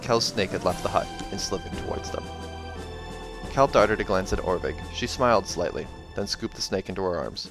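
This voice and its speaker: A man reading a fantasy novel aloud as an expressive amateur narrator, with casual asides. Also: deep voice, moderate pace, music in the background. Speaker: reader of a fantasy novel, reacting as they go.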